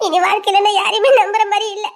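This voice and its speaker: Very high-pitched plaintive village girl. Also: flat delivery, very fast, gravelly. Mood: despairing, tearful. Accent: southern Tamil Nadu. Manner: plaintive, emotional. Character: distraught seventeen-year-old South Indian village girl, dramatic.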